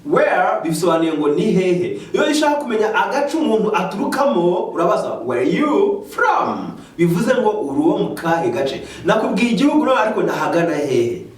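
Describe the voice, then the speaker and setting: A man with a Rwandan accent teaching English in a slow, careful way, switching into Kinyarwanda to explain. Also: high-pitched. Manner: slow, careful explaining, lively rising questions. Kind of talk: bilingual language lesson. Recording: echoey room, mic at a distance.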